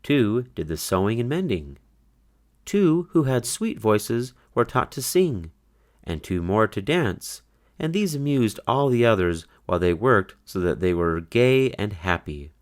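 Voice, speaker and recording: A man narrating a children's storybook aloud, with expressive, deliberate, scripted storytelling. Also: lowish voice.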